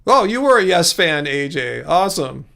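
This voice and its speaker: A man with a slightly raised voice and a gravelly edge chats casually on his livestream. He rambles easily through viewer comments, restarting his sentences often and chuckling along the way. He is recorded close on a studio mic at home. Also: a little high, sing-song, moderate pace, a bit bright. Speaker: livestream host.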